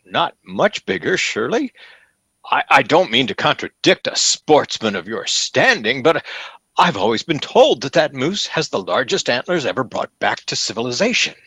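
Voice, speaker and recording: A man's voice: mid-range voice, normal speed, slightly rough, no room sound, exaggerated voice. Fawning pompous salesman, swooping theatrical voice. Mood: deferential. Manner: effusive, flattering, theatrical, chuckling. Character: fawning, pompous salesman at a grand sporting goods store. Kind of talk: old-time radio comedy acting.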